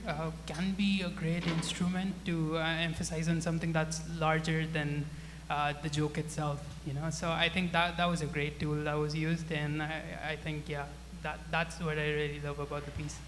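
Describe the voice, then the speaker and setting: Male audience member, slightly high pitch, casual and earnest, halting, echoing auditorium with faint noise. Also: a bit bright. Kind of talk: casual, spontaneous audience comment. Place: balcony of a large auditorium, handheld microphone. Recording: echoey hall, faint hum and noise.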